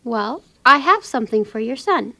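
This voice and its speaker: Woman playing a high-voiced, kindly lady lawyer. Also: lively intonation, moderate pace, bright, forceful tone. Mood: kindly, explanatory. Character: kind, professional businesswoman, a lawyer. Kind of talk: children's puppet show drama.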